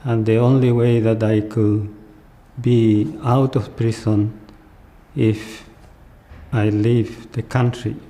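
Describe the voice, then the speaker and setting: A man's voice: lowish voice, little pitch movement, takes it slowly, dark tone. An older man with a Chilean accent, a native Spanish speaker, giving personal testimony as an interviewee. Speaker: documentary interviewee.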